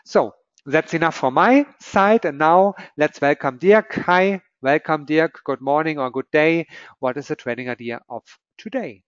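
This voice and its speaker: A man's voice: fairly high pitch, measured pace, no room sound, dull audio. German-accented man hosting a webinar. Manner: upbeat, promotional, slightly non-native phrasing. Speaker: webinar host. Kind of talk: scripted webinar introduction.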